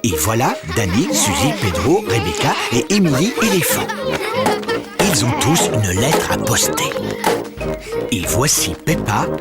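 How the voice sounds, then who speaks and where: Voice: medium pitch, sing-song, unhurried. A performer narrating a French preschool cartoon as a friendly storyteller, with simple, explanatory delivery. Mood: cheerful, explanatory. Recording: loud cartoon music behind.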